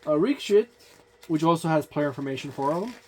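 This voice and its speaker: A reviewer giving a casual page-by-page overview of a game book, listing entries in a halting way with frequent fillers and restarts. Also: medium pitch, lively intonation, a little hiss or hum. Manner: offhand, informal wording.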